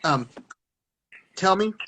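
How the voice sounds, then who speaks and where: A man's voice: high-pitched, lively intonation, slow pace, pushed and bright. A man talking casually as an interview guest on a video call, stuttering and restarting his sentences. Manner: stutters, many restarts and repeated words.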